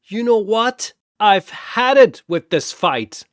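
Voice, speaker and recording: Male narrator as a hapless young pirate for a battle story: raised pitch, nervous, blustering, rambling. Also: animated, takes it slowly, clear, resonant tone, no echo, clean studio sound. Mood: theatrical indignation.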